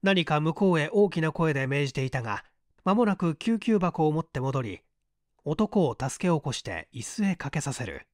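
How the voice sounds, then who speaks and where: A man's voice: a little high, big pitch swings, normal speed, no room sound, studio quality. A man reading a mystery story aloud as scripted audiobook narration. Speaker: audiobook reader.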